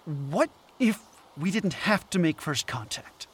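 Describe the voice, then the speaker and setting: A man's voice: on the high side, animated, slow pace, breathy edge, full character voice. A man playing an obsessive, self-assured anthropologist in an audio drama, speaking earnestly and insistently. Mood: intent, persuasive.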